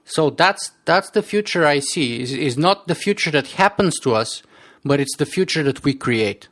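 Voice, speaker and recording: A man's voice: on the high side, lively intonation, normal speed, a bit bright. A man with a strong Bulgarian accent, a podcast guest telling his story in an earnest interview manner, often repeating words as he restarts.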